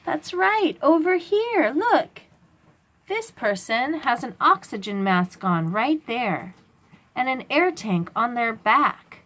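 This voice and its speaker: A woman's voice: sits high, sing-song, slow pace, a bit bright. A woman hosting a children's read-aloud, explaining a picture book to little ones in simple, instructive phrasing with questions to the listener.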